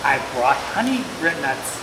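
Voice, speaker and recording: Woman reading as a slow, friendly storybook badger. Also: rumbling low, sing-song, exaggerated voice. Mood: cheerful, inviting. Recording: echoey hall, distant mic, loud hiss.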